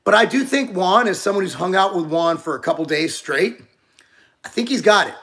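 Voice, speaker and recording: A man's voice: sits high, expressive, fairly quick, fairly bright tone. A man in his forties co-hosting a casual talk show, rambling with frequent restarts. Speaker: podcast co-host. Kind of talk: casual chat.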